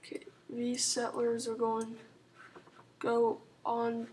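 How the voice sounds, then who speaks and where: A woman with a sore throat and a hoarse, sick voice, rambling softly through casual strategy game commentary with lots of ums and likes. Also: on the high side, monotone, slow pace, a little hiss or hum. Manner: rambling, full of "like" and "um", soft-spoken. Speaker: gaming channel host.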